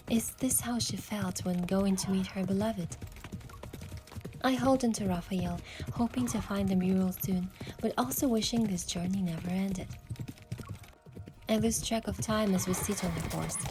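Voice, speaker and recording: A woman playing a young romantic heroine, narrating her adventure in a soft, measured, wistful way for a game story. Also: medium pitch, lightly acted. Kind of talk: scripted first-person story narration.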